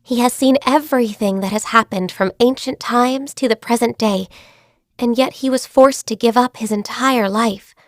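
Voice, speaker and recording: An actress voicing a gentle, shy and diligent young female secretary in a video game, soft-spoken, earnest and a little hesitant. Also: fairly high pitch, moderate pace, no room sound, clean studio sound, close to an everyday voice. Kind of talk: video game character voice lines. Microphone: close studio mic.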